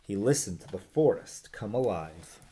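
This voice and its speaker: A man's voice: on the low side, animated, moderate pace, mellow, a little hiss or hum. A man narrating a children's picture book expressively as a read-aloud storyteller.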